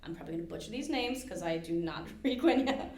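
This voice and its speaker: A woman hosting a library podcast, running a casual trivia quiz with quick asides and easy laughs. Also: on the low side, animated, a little mellow. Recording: roomy echo with faint background hiss.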